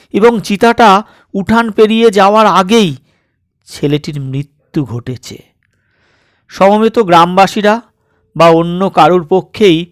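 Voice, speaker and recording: A man reading a suspense story as a dramatic audiobook narrator, in measured, deliberate phrases with pauses. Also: sits high, dry recording, studio quality.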